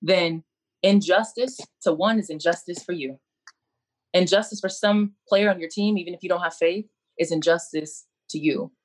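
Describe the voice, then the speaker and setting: A woman's voice: lowish voice, normal speed. A woman coach speaking as a panelist on a video call, earnest and preacherly, repeating her key phrases. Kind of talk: impassioned faith-based speech on a video call.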